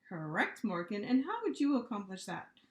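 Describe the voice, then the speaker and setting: Storybook casting: woman as a strict, formal monster schoolmaster, male, issuing brisk instructions in an echoey room. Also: mid-range voice, sing-song, lightly acted.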